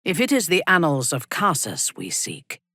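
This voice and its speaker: A woman voicing a ruthless, haughty exiled dark elf warrior in a video game, commanding and menacing, with formal diction. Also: sits low, huge pitch swings, normal speed, breathy edge, dry recording, studio quality, full character voice. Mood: grim, menacing. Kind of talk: video game character voice acting.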